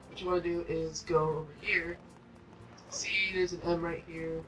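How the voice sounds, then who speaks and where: A woman's voice: on the low side, sharp tone, poor audio. A woman giving casual, rambling, unscripted commentary as host of a gameplay video. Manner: rambling, informal.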